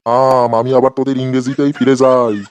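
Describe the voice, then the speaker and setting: A man's voice: medium pitch, little pitch movement, a little fast, smooth, lightly acted. A man voicing a sarcastic, exasperated male schoolteacher who scolds and mocks his pupils in a comedy cartoon. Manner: scolding, mocking, repeats phrases. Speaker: English teacher in a comedy cartoon.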